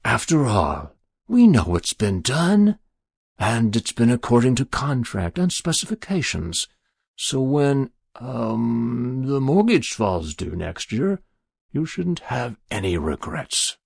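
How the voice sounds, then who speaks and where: Storybook devil, performed by a male narrator: genteel businessman, unctuous and mock-pious, very animated delivery, slightly gritty tone. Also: mid-range voice, slow pace, vocal fry, breathy edge, no echo, clean studio sound, pitched above the performer's own voice, exaggerated voice. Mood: smug, easy. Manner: easy, unctuous, mock-pious, precise diction. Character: smooth sinister devil posing as genteel businessman. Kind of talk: dramatic literary storytelling.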